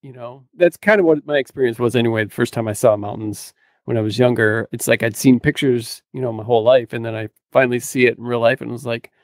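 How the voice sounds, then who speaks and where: Dry-sounding man, halting casual chat. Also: mid-range voice, dry recording. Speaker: podcast co-host. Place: cluttered room at home, video call. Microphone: close microphone on boom arm.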